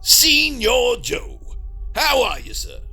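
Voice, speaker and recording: Gravelly high-pitched Southern backwoods hunter. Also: male voice, sing-song, slow pace, bright, forceful tone, heavily acted. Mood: polite, hearty. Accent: rural Southern US. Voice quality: gravelly, raspy. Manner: folksy, mock-formal, ungrammatical. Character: folksy backwoods monster hunter, male, courteous and dramatic. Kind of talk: comedy horror audio drama acting.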